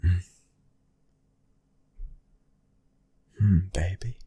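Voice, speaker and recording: A British man acting a protective, devoted young mafia husband in an intimate ASMR boyfriend roleplay, his breathy voice slipping into whispers. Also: normal speed, warm tone, no echo. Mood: tender, devoted. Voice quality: very deep, breathy, husky.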